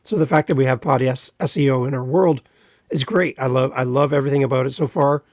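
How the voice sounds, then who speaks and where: A man's voice: medium pitch, fast talker, a little mellow, no echo, studio quality. A man hosting a podcast, upbeat and promotional as he introduces a guest and a tool. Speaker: podcast host.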